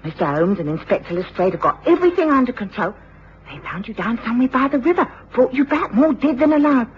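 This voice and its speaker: A woman's voice: a little high, lively intonation, fast talker, husky. Soothing motherly woman, muffled sound. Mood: soothing concern. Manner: soothing, reassuring. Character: kindly, fussing motherly woman tending a patient. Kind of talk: 1950s-60s British radio drama acting. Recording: dull, faintly noisy old radio recording.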